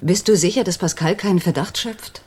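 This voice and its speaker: Woman playing a husky, intense femme fatale. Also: medium pitch, fairly quick, darkish tone, natural voice. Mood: anxious, probing. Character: passionate, determined accomplice and lover, woman in her thirties. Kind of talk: German radio crime drama acting.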